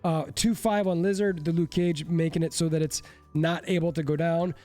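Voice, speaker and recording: A man's voice: fairly high pitch, steady tone, moderate pace, mellow, faint music behind. A man with a stuffy, congested voice chatting casually and a bit self-deprecatingly as he breaks down card game decks. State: congested from a cold. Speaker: card game content creator. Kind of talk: casual deck breakdown commentary.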